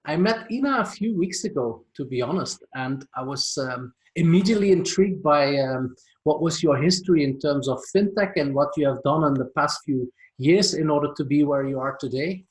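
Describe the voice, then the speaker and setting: Man, interviewer, friendly chatty questions, slightly high voice. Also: normal speed, low-quality recording. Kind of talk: conversational interview.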